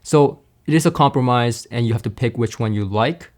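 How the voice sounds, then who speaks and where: A man's voice: mid-range voice, brisk, darkish tone. Young man presenting a gear review. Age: young adult. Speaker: video host and audio equipment reviewer. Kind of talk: presenter-style product review to camera. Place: small indoor studio room with acoustic wall treatment.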